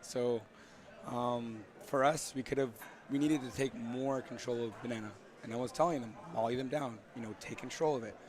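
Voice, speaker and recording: A man's voice: medium pitch, steady tone, moderate pace, a little mellow. A man giving rambling, casual interview answers as a team captain, his voice starting to give out. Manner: rambling, peppered with "you know". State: losing his voice. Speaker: esports team captain and player. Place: large indoor gaming event venue. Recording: crowded event venue behind. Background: crowd chatter.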